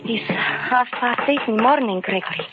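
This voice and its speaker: An actress playing an anxious, devoted shopkeeper's wife in old radio drama style, with a worried, questioning manner. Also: female voice, a little high, normal speed, loud music behind the voice, lightly acted. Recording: dull, very noisy old radio recording.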